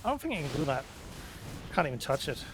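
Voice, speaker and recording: Male let's player, slightly high voice, very animated intonation, casual musing gameplay commentary. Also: quick pace, noisy background. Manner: musing aloud to himself.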